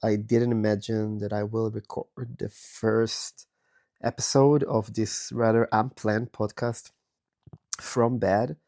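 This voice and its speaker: Israeli-born man in his 30s, raspy and hoarse from illness, unhurried candid monologue, low warm timbre. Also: little pitch movement. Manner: slow, thoughtful, candid, with many pauses. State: hoarse from a virus. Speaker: podcast host. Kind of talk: personal reflective monologue. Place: bedroom, recording from bed.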